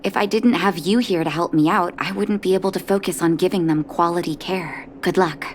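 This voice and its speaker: A caring woman doctor from a video game, voiced by an actress, with a slightly low pitch. She is composed, polite and quietly firm, in measured phrases. There is faint background noise. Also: natural voice. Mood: warm, grateful. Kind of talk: video game character acting.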